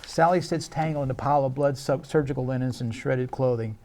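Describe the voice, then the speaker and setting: A man's voice: medium pitch, a little fast, darkish tone. A man reading stage directions as narrator at a live screenplay table read, in a scripted narration style.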